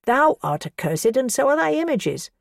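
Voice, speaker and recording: A woman doing a fervent defiant woman, impassioned, raised pitch, louder delivery, dry sound. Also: sing-song, moderate pace, gravelly, dry recording, exaggerated voice. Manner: impassioned, scolding, rising pitch. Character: defiant pious woman facing her accusers.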